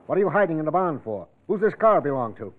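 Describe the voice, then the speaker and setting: An actor playing a brisk, suspicious country sheriff, blunt and clipped, in a classic radio crime drama. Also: male voice, on the high side, big pitch swings. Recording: muffled, phone-like old radio recording.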